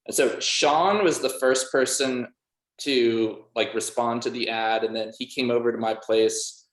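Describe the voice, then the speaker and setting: A man's voice: medium pitch, fairly even intonation, unhurried. A man with a laid-back, rambling way of talking, full of "like", answering casually as a musician in an interview. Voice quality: casual, filler-heavy speech with frequent "like". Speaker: band member and music producer being interviewed. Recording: roomy, echoey sound.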